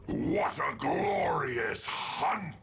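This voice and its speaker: A man voicing a savage, proud beast hunter in a video game, with a gravelly, growling voice and menacing delivery. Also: a little high, animated, very slow, a bit bright, slight background noise, exaggerated voice. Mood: gloating, predatory. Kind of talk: video game character voice lines.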